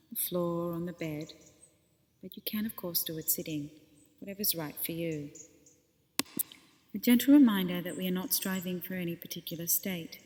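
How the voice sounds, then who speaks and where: A woman's voice: fairly low pitch, slight background noise. Woman guiding meditation in reverberant space. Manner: gentle, unhurried instruction with pauses. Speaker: meditation teacher. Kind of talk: guided body scan meditation.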